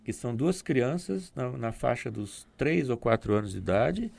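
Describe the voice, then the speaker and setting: A man speaking as a guest on a discussion program, explaining in a measured way with frequent restarts. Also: medium pitch, very animated, gravelly, darkish tone.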